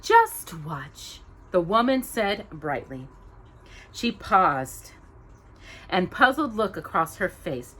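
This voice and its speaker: A woman hosting storytime, reading a children's story aloud with expressive, dramatic emphasis on descriptive words. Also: mid-range voice, takes it slowly, pauses often.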